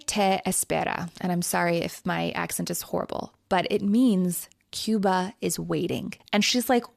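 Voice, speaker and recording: Low-voiced woman hosting a book podcast. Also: steady tone, normal speed, gravelly, dry recording, studio quality. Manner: retells the book conversationally, quoting it aloud. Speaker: podcast host. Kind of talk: casual book discussion.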